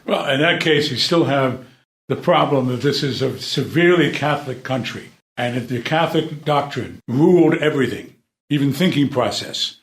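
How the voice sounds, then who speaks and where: A man's voice: medium pitch, normal speed, creaky. A man making emphatic, declarative points in a casual discussion of literature. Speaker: podcast discussion panelist. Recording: echoey room.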